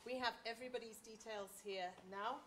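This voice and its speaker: A woman moderating an audience Q&A at a conference, brisk and organizing. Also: on the high side, fairly even intonation, a bit bright, slight background noise. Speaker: event moderator. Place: conference hall.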